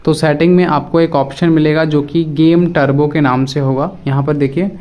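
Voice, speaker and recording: Higher-pitched man giving a tutorial. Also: steady tone, moderate pace, darkish tone. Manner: repetitive, instructional, addresses viewers directly. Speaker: tutorial presenter. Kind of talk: casual step-by-step phone app tutorial.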